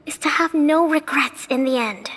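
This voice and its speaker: A woman's voice: high voice, normal speed, big-room sound, background noise, natural voice. A woman playing a confident young woman general in a video game, speaking in earnest, persuasive lines. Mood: urging. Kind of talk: video game cutscene dialogue.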